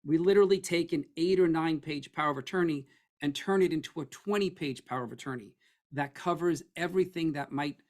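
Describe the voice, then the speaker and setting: A middle-aged man with a hoarse, froggy voice, presenting an estate planning webinar in a conversational way that keeps checking in with "okay?". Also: on the high side, fast, a little mellow. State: hoarse, losing his voice.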